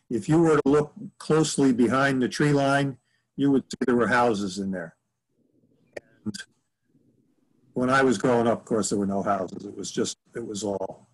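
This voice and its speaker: Guy in his 70s chatting on a video call, halting, wanders and restarts, reminiscing. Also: medium pitch, low-quality recording. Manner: rambling, halting, self-correcting. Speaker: author answering audience questions. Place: room at home. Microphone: computer mic on a video call.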